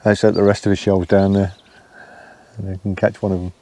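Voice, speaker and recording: A man in his fifties, an angler talking casually to the camera from a lakeside bank, soft-spoken and often murmuring under his breath. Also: low voice, flat delivery, brisk. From England. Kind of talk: casual fishing commentary. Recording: faint outdoor noise with birds.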